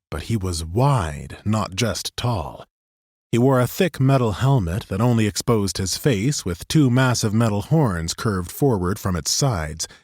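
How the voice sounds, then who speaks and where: A male narrator reading a fantasy novel aloud in a studio booth. His voice sits fairly low, and his storytelling is steady and even. The sound is dry and close to the mic. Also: no room sound. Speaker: audiobook narrator. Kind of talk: scripted fantasy audiobook narration.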